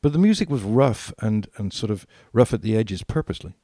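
A man's voice: fairly low pitch, expressive, brisk, warm tone, dry recording, clean studio sound. An older British man reminiscing as a podcast co-host, repeating phrases for emphasis. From Britain.

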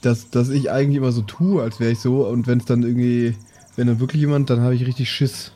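A man playing a shy, self-conscious band member in an improvised audio role-play, speaking haltingly. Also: medium pitch, level tone, dark tone, close to an everyday voice. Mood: bashful. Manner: hesitant, halting, bashful.

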